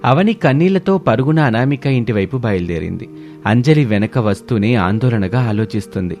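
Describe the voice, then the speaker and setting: A man's voice: mid-range voice, lively intonation, moderate pace, darkish tone, faint music behind. A man reading a scripted family story as a dramatic narrator.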